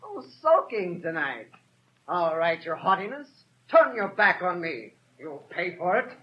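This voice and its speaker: Sneering idle dandy, swooping drawl. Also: male voice, high-pitched, big pitch swings, measured pace. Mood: mocking, spiteful. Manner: mocking, drawling taunts. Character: sneering, well-dressed idle male tormentor. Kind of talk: 1930s radio drama acting. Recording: muffled, hissy 1930s radio broadcast.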